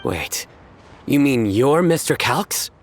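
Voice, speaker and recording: An actor playing a solemn young man in a video game, formal, deadpan and earnest. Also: mid-range voice, slow pace, slight background noise. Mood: flustered. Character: solemn, intense young man obsessed with his card game.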